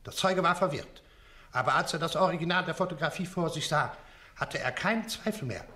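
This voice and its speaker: A man's voice: a little high, a little fast, pushed and bright. An actor playing a curt, dismissive male appeal judge in a radio drama, formal and emphatic. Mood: dismissive, firm.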